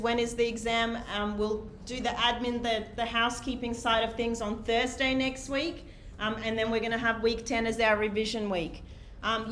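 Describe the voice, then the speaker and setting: A woman lecturing a large university class in a lecture hall. She speaks loudly and projects, chatty and self-deprecating, with many "um"s, sometimes drifting off the microphone. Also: on the high side, a little hiss or hum. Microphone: lecture microphone, sometimes off-mic while walking.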